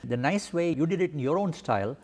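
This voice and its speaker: A man's voice: fairly high pitch, animated, fairly quick, darkish tone. Effusive male interviewer. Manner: effusive praise, frequent "you know" and backchannel. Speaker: interviewer host.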